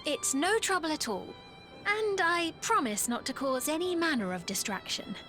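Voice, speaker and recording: An actress playing a gentle, devoted young queen in a video game, courteous, formal and soothing, with music behind her. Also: high-pitched, lively intonation, normal speed. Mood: gracious. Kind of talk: scripted video game voice acting.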